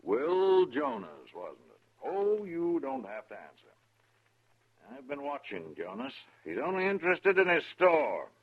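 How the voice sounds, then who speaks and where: Clipped dismissive cavalry major, pitch moves around a lot, tinny 1950s radio western. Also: male voice, huge pitch swings, unhurried. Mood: dismissive, suspicious. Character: curt, skeptical cavalry major commanding a frontier fort. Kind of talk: 1950s radio drama acting.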